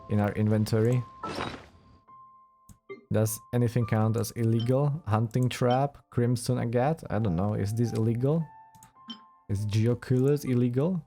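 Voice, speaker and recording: A man's voice: medium pitch, mellow. A man doing casual let's play commentary as host of a game playthrough. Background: game music.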